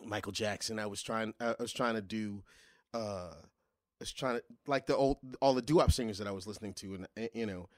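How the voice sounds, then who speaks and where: A middle-aged man, a singer, talking casually in an interview, often restarting his sentences and growing animated when he recalls singing. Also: on the low side, fairly quick, no echo, studio quality. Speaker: guest singer.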